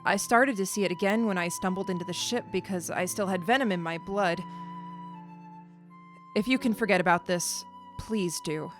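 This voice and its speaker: A woman playing a stranded alien creature in an audio drama, stoic and reflective, with a deliberate, solemn way of telling things. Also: fairly low pitch, soft background music, lightly acted. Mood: grieving, resigned.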